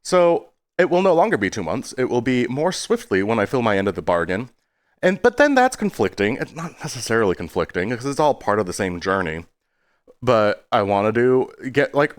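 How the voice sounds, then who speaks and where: A man's voice: fairly low pitch, no echo, studio quality. A man giving a casual, rambling video update in a self-deprecating way, stopping and starting and slipping into exaggerated tones.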